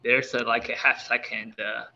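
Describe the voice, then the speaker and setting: A young man talking casually and softly on a video call from a laptop in a lecture hall. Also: mid-range voice, level tone, normal speed, gravelly. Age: young adult. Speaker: co-speaker. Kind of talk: casual chat. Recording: dull laptop mic with hum.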